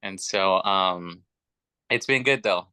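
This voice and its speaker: Man in his thirties, low-pitched, smooth, earnest chatty talk. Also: slow pace, pushed and bright, dry recording. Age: 30s. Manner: earnest and chatty, with many fillers. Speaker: podcast guest. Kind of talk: casual chat.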